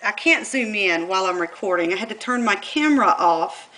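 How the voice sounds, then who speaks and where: A woman's voice: medium pitch, lively intonation, brisk, bright, forceful tone, rough recording. A woman with a Southern US accent filming her computer screen and talking insistently to her viewers. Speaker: vlogger. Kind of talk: casual monologue. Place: indoors at a computer.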